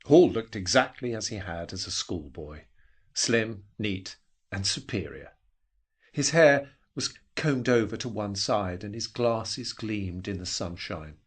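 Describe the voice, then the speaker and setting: A man reads a scripted story in a fairly low voice with a noticeably husky grain. He phrases deliberately, giving the literary prose a measured, dramatic shape. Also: animated. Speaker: narrator.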